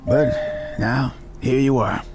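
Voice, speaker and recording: A man acting a nervous, chatty elevator operator in a radio drama, awkward and hesitant, with a creaky voice. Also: mid-range voice, fairly even intonation, takes it slowly, loud music behind the voice, close to an everyday voice. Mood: awkward, surprised. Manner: awkward, hesitant small talk.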